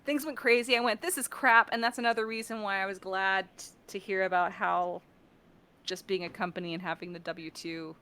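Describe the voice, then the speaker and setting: A woman chatting casually as a guest, telling stories in an animated way and often interrupting herself. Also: mid-range voice, brisk, clear, resonant tone. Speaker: guest, freelance game audio professional. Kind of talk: casual chat.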